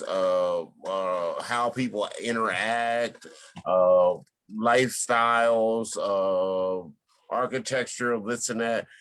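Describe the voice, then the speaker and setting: Halting, lower-voiced man. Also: a bit bright. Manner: halting, deliberate, many pauses and ums. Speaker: stage play cast member, artist. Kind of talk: informal panel interview.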